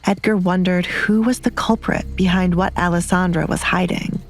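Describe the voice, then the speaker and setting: Female narrator, slightly low pitch, scripted romance storytelling, faint music behind. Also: fairly even intonation, moderate pace.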